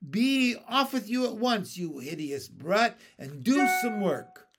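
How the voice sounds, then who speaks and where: A man voicing a grim, tall and bony old aunt who is harsh and bossy, with a shrill, shouting voice. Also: big pitch swings, unhurried, soft background music, full character voice. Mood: commanding.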